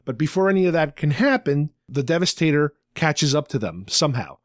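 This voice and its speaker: A man's voice: on the high side, big pitch swings, fairly quick, dry recording, studio quality. A man hosting a podcast, casually retelling a story in a chatty fan discussion style. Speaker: podcast host.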